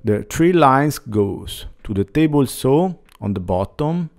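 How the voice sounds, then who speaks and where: Slow, measured man narrating a workshop build. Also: medium pitch, animated, creaky. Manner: slow, plain instructional delivery with non-native phrasing. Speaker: woodworking channel host. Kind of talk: scripted voice-over narration of a build. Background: faint background music.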